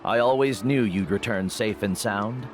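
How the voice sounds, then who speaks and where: An actor playing a veteran guide and teacher who speaks in a measured, reflective way in game dialogue. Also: male voice, fairly low pitch, close to an everyday voice. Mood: steady. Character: older male guide mentor, calm and wise. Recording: game audio with faint music.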